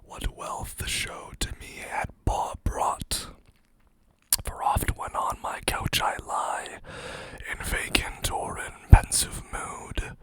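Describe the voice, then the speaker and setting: Slow, soft ASMR whisperer. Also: poor audio. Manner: soft whisper, slow reading with casual chatty asides. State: slightly hoarse, losing voice. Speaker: ASMR channel host. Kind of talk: whispered ASMR poetry reading.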